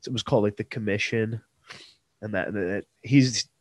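A man's voice: fairly low pitch, normal speed, no room sound. A young man with a stuffed-up, nasal voice, co-hosting a casual podcast and reading out history trivia in a rambling way. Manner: rambling, many fillers and restarts. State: stuffed-up nose. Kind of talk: casual sports podcast chat.

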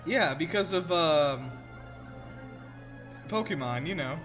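A man with a somewhat high-pitched voice chats casually and jokes his way through a film. He swears lightly, and the movie's music plays faintly behind him. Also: expressive, slow pace, fairly bright tone. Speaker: host of a movie reaction show. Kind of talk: casual reaction commentary over a film. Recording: film soundtrack playing behind. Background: movie audio with music.

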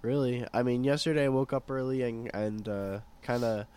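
A hoarse young man chats casually among friends, and the soreness in his throat wears down his voice. He keeps an easygoing tone, breaking into light laughs and stumbling over restarts. His pitch sits in the middle range. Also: normal speed, a little mellow. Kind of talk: casual chat.

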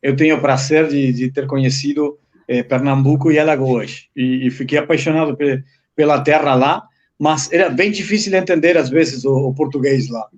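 A man's voice: mid-range voice, normal speed, lo-fi sound. Uruguayan guy, native spanish, chatting in portuguese with spanish mixed in, guest on a live stream. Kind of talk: casual live chat.

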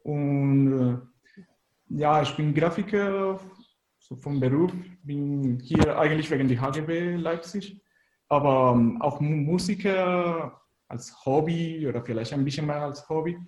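A man's voice: fairly high pitch, measured pace, darkish tone, echoey room, a little hiss or hum, low-quality recording. A man from Venezuela with a Venezuelan Spanish accent, answering casually as an interview guest and searching for words in a language that is not his native one. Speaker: interview guest, musician and graphic designer.

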